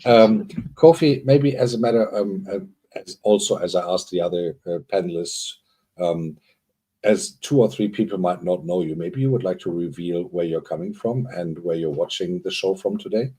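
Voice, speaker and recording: A man's voice: sits low, moderate pace, warm tone, husky. A man co-hosting a livestream, chatting in a rambling, friendly way with guests. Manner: rambling, friendly, rising emphasis. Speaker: livestream co-host. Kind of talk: casual livestream chat.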